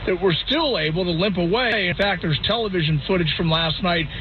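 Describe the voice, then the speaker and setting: A man's voice: high voice, fairly quick. A man delivering a news report as a radio reporter. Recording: muffled radio with faint noise.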